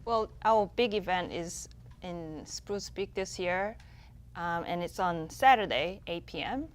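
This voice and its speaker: A woman who runs a music festival, answering TV studio interview questions in a measured, somewhat hesitant way. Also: lowish voice, animated. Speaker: festival executive director and musician.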